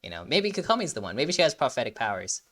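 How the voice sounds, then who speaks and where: A man's voice: medium pitch, sing-song, fast talker, fairly bright tone. A young man giving casual, rambling, emphatic commentary as a reaction video host.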